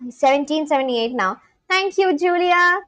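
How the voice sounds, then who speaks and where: A woman with an Indian accent hosts a live stream and chats with her viewers in a loose, friendly way, laughing often between remarks. Her voice sits high and bright. The sound is dry and close, with no room ring. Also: expressive, moderate pace, no echo. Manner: chatty, laughs often, talks straight to chat. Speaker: livestream host.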